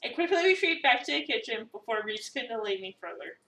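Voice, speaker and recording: A woman reading a visual novel as an eager, awkward new cafe employee, chatty, expressive and self-deprecating. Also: on the high side, a little fast, heavily acted. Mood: wry, curious. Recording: roomy, a bit far from the mic.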